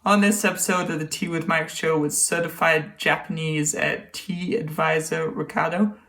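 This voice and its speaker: A man's voice: a little high, level tone, normal speed, creaky, bright, forceful tone. A man hosting a casual tea podcast interview, upbeat and chatty, often stammering and restarting his questions. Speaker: podcast host.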